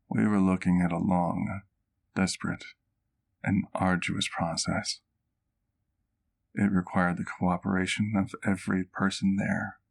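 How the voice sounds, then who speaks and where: A centuries-old gentleman vampire played by a man in an ASMR roleplay. His voice is very low with a gravelly creak. He speaks gently and formally, like a doting, wistful doctor recounting old memories in measured, literary phrases. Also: full character voice.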